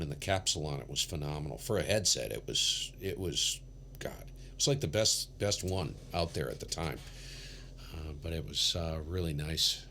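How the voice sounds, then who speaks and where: A man with a very low voice hosts a casual ham radio livestream. He rambles in a laid-back, keen hobbyist way into a studio mic. Faint noise sits behind him. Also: bright, forceful tone. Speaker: livestream host, amateur radio operator. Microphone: big studio condenser.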